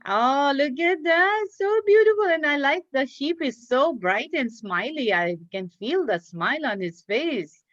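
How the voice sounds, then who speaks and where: A woman's voice: high voice, animated, a little slow, hardly any pauses, a bit bright. A woman teaching a children's craft class over a video call, speaking in an encouraging way and praising her young pupils. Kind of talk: interactive online children's lesson. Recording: dull lo-fi online call, echoey room.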